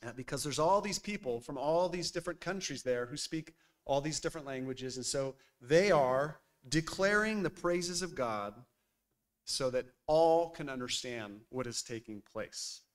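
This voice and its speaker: A man, preaching a sermon at a church pulpit in a lively, conversational way with frequent filler words. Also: fairly high pitch, normal speed. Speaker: pastor. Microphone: boom mic on a stand at the pulpit.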